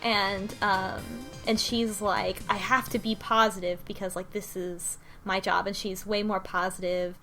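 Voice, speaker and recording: A woman's voice: mid-range voice, little pitch movement, a little slow, hardly any pauses, bright, forceful tone. A woman with a mid-range voice talks casually as a podcast host, recapping a story for the group. She speaks in a loose, conversational style, with faint music playing underneath. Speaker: podcast book club host.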